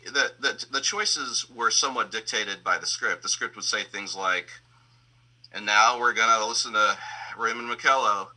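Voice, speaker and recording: Male sound designer, low-pitched but sharply bright, thoughtful and halting interviewee. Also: rough recording. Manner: hesitant, reflective, searching for words. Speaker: sound designer and audio engineer. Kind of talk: explaining creative process in a panel interview.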